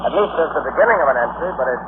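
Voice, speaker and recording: An actor playing an earnest, anxious young sailor in a 1940s radio thriller, plain-spoken and dramatic. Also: male voice, fairly high pitch, expressive, rapid-fire, natural voice. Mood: uneasy, confiding. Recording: very noisy, tinny 1940s radio recording.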